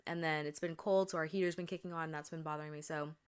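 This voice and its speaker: A woman's voice: deep voice, steady tone, fast talker, smooth. A young woman hosting a casual podcast, chatty and rambling with lots of fillers and self-corrections, her voice a little congested and tired. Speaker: podcast host. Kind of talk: casual chat.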